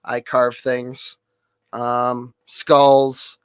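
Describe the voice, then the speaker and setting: Nasal, hoarse man rambling casually. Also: medium pitch, flat delivery, very slow, no room sound. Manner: rambling, swears casually, many fillers. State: hoarse, losing voice. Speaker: streamer.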